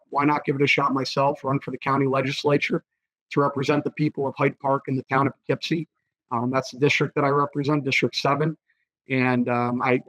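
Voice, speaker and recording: A hoarse man, a political candidate giving earnest interview answers, with many fillers and repeated words. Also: medium pitch, fairly bright tone, dry recording, muffled recording. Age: 30s.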